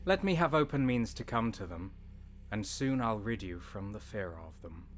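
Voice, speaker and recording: Man as hired assassin, Shakespearean audio drama, low voice, curt, eager, obedient. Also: lively intonation, moderate pace, a little hiss or hum, close to an everyday voice.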